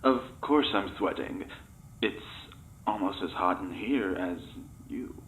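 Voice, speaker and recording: A man's voice: deep voice, slow, a bit bright, slight background noise, heavily acted, low-quality recording. An actor playing a cheeky, flirtatious male test subject in a comedic audio drama, teasing and melodramatic in his delivery. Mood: playful.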